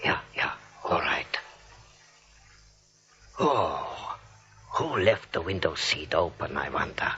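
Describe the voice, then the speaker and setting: A muffled old radio recording of a timid crooked doctor. He mutters and fusses in a bright, edgy voice that swoops all over, yet takes his time. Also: very deep voice, takes it slowly, pauses often, exaggerated voice. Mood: flustered, furtive. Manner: muttering, fussing, excitable. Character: nervous, soft-hearted crooked doctor, timid male sidekick. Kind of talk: 1940s radio comedy acting.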